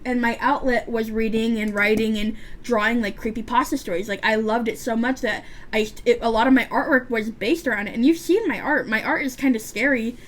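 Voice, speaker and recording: A woman's voice: on the high side, brisk, hardly any pauses, a little hiss or hum. A woman of about twenty chatting casually while doing her makeup, with a stutter and a lisp and a rambling way of talking. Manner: rambling, chatty, frequent restarts and fillers. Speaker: beauty vlogger.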